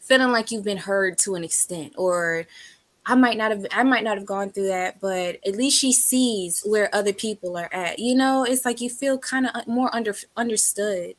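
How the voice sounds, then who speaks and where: Earnest young-sounding actress, rambling. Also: female voice, medium pitch, moderate pace, a bit bright. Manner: earnest, rambling, many fillers. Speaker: stage actress, guest. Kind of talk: casual interview answer.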